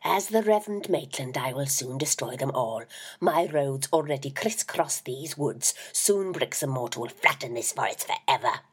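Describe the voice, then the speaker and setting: Method, a woman voicing a booming forest demon. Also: lively intonation, normal speed, husky, heavily acted. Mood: menacing hiss. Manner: booming, roaring, hissing whispers. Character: ancient evil forest spirit posing as booming old male clergyman.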